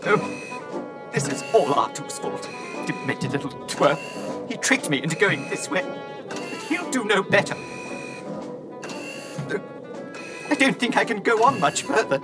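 A man's voice: high-pitched, animated, moderate pace, music in the background. A man playing a fussy, anxious, self-pitying robot in a radio drama, prissy and theatrical in his complaints. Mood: despairing. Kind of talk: dramatised radio science-fiction acting.

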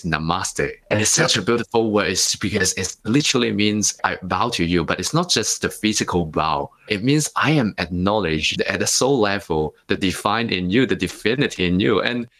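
Low-voiced man from Hong Kong. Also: normal speed, few pauses, a bit bright. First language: Cantonese. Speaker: guest, life coach and author. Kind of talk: podcast interview.

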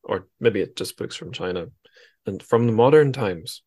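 A man's voice: on the low side, lively intonation, moderate pace, dark tone. A man hosting a podcast interview in a casual, rambling, self-deprecating way.

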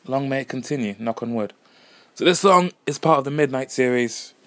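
A man's voice: medium pitch, a little fast, a bit bright, dry recording. A man hosting his own podcast alone, musing in a rambling, self-deprecating way. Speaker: podcast host and musician. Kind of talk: casual solo podcast chat.

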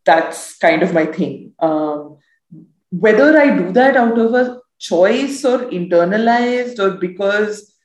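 A woman in her thirties from South Asia with a deep voice, speaking as a podcast guest in a candid, reflective way and laughing easily. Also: animated, takes it slowly, reverberant, low-quality recording. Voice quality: deep, low voice. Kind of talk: candid conversational interview.

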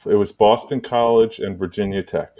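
A man's voice: lowish voice, fairly even intonation, moderate pace, dark tone. A man hosting a casual sports podcast, telling anecdotes in a loose, rambling way. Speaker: podcast host. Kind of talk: casual sports banter and storytelling.

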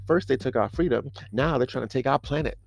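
Man putting on a high-pitched, chummy, clueless office bro. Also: brisk, gravelly, lightly acted. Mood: earnest, preachy. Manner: overfamiliar, rambling, peppering talk with bro-style slang. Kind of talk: comic audio fiction dialogue.

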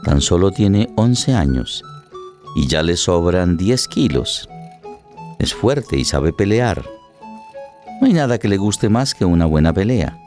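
Radio drama narrator, male, fairly low register, scripted dramatic storytelling over a soft music bed. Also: very animated, takes it slowly, pauses often.

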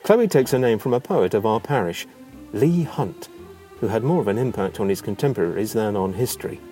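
A man reads a scripted memoir in a low, mellow voice. His delivery is measured and theatrical, shaped as storytelling, with faint music underneath. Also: lively intonation. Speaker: narrator reading a memoir.

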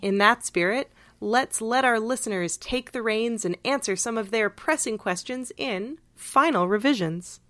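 A woman's voice: fairly high pitch, unhurried, hardly any pauses, a bit bright, no echo. A woman co-hosting a podcast in casual analytical discussion, emphatic and reflective, sprinkling in "like" as she thinks aloud. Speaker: podcast co-host.

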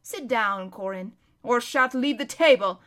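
A woman's voice: a little high, unhurried, fairly bright tone. A woman as a stately, chiding storybook king. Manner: stately, old-fashioned courtly speech. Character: dignified older king, firm, fatherly and chiding. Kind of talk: storybook read-aloud.

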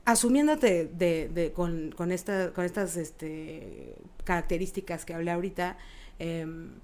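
Lively-pitched woman asking halting questions. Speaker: interviewer. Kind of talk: casual interview questions.

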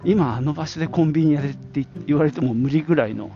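A man's voice: a little high, fast. Middle-aged man reminiscing, slightly muffled sound. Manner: informal, rambling with self-asides. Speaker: podcast host. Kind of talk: casual solo reminiscing.

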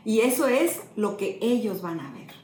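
Woman, pastor, middle-aged, fairly high voice, echoey room, faint ambience. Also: sing-song, a little mellow, a little hiss or hum. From Mexico. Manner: earnest storytelling, slow and emotional. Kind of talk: sermon-style testimony.

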